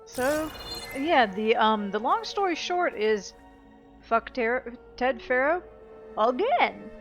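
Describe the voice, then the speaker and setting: A woman's voice: high voice, animated, slow. A woman giving casual gameplay commentary as a let's play host, rambling and blunt with some swearing. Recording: loud game music behind.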